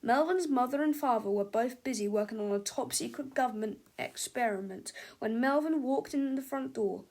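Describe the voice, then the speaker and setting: Woman, children's story narrator, scripted read-aloud, fairly high voice. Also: moderate pace, few pauses, darkish tone.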